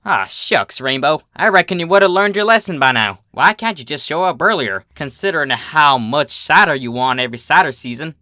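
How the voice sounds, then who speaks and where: A man's voice: a little high, lively intonation, normal speed, hardly any pauses, pushed and bright, dry recording, studio quality, voice pushed up. A man voicing a young female farm pony, friendly and teasing, with a folksy Southern US drawl, in a story reading.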